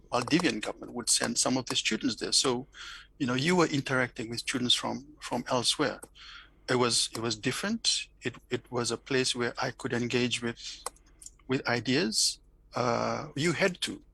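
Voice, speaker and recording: A man's voice: mid-range voice, normal speed, gravelly, bright, forceful tone, slightly rough, dry recording, poor audio. A middle-aged man from Fiji, speaking English with a Fijian accent, reflecting thoughtfully in an interview with many restarts and repeated words. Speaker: interview guest, academic.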